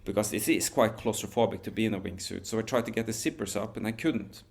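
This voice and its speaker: Man with a low voice. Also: creaky. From Norway. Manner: relaxed, measured storytelling with frequent restarts. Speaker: guest, wingsuit pilot. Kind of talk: podcast interview storytelling.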